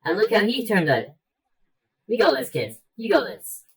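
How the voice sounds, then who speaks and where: An actor playing a cranky but encouraging robot mentor in a radio play, husky and casual in delivery. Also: medium pitch, big pitch swings, moderate pace, far from the mic, full character voice. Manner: casual, coaxing, reassuring.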